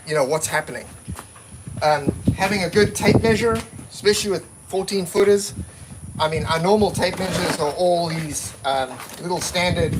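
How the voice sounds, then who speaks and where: A man's voice: a little high, background noise. A man giving a casual, practical talk at a seminar, plainspoken and given to repeating himself while he explains. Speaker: seminar presenter.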